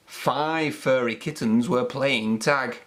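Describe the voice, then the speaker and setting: A woman narrating a children's storybook aloud in a deliberate, expressive manner. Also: rumbling low.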